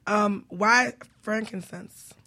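A woman's voice: mid-range voice, slow pace, bright, forceful tone. A woman co-hosting a radio show in casual chat, with a joking, playful manner. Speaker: radio show co-host, life coach.

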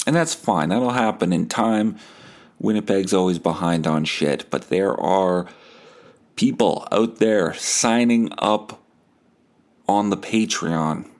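Male podcast comic, deeper-leaning voice, very unhurried, sardonic and crude mock bragging. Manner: sardonic, foul-mouthed, mock-boastful. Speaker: podcast host and comedian. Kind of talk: rambling comedic monologue.

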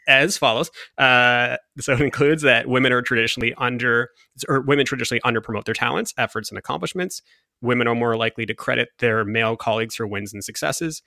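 A deep-voiced man in casual conversation, thinking aloud and circling back on his words. His tone is bright and forceful. The recording is dry and close, with no room sound. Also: creaky, no room sound. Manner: thoughtful, restarts often, reads quotes aloud.

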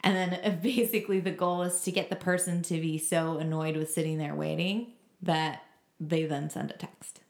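Female podcast co-host, lowish creaky voice, casual chat, echoey room sound. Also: fairly even intonation, moderate pace, darkish tone. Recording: roomy, echoing sound.

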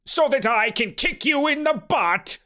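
A man voicing an angry, bullying uncle in a storybook, shouting threats in exasperation. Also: extremely high pitch, big pitch swings, extremely slow, voice pushed up, heavily acted. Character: angry, bullying uncle trapped in a crystal prison.

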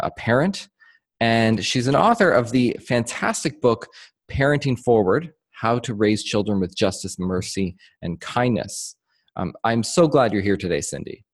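A man hosting a faith podcast interview, friendly and a little hesitant with fillers. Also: lowish voice. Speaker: podcast host.

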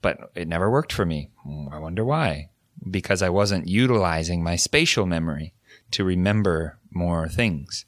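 A man with a very low voice talks through ideas in a relaxed, thoughtful way, often circling back to rephrase himself. The sound is close and dry, with no room around it. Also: moderate pace, dry recording. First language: English. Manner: thoughtful, explanatory, with frequent restarts. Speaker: podcast co-host. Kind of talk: casual educational chat.

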